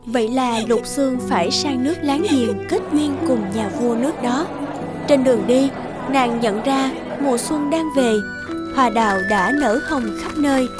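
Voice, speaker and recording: High-voiced woman narrating a tale. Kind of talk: scripted storybook narration. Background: background music.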